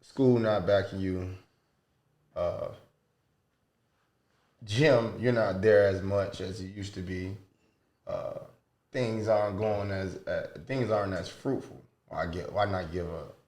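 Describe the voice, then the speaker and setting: A man with a low voice hosts a casual podcast interview. He talks in an informal, slangy way and repeats phrases for emphasis. The room adds a clear echo to his voice. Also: takes it slowly, pauses often, darkish tone. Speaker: podcast host. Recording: roomy, echoing sound.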